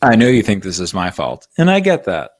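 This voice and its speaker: A man's voice: on the low side, wildly swooping pitch, fairly quick, slight background noise. A man playing a cocky, defensive washed-up smuggler in a comedic script read. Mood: defensive, cajoling. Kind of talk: comedic live table read of a film script.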